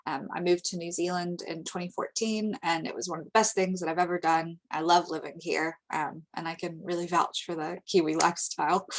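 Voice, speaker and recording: An American woman from the United States giving a webinar presentation over slides, steady and informative, with frequent ums. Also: on the low side, rough recording. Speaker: international recruitment presenter.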